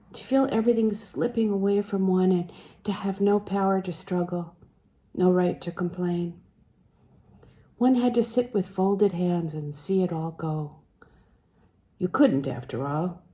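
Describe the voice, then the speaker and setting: A woman's voice: lowish voice, dark tone. A woman reading literary fiction aloud as an audiobook narrator. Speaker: audiobook reader.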